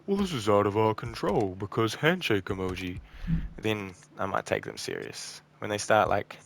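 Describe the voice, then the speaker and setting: A man hosting a gaming video, talking casually over gameplay in a croaky, slightly raspy voice, tired and burnt out, rambling with ums and trailing off. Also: on the low side, very animated, normal speed, slight background noise. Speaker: gaming channel host.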